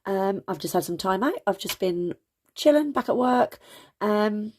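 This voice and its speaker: A woman with a slightly croaky voice, chatting casually through a craft tutorial with lots of ums and self-corrections. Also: mid-range voice, lively intonation, a little slow, a little mellow, a little hiss or hum. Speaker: crafting channel host.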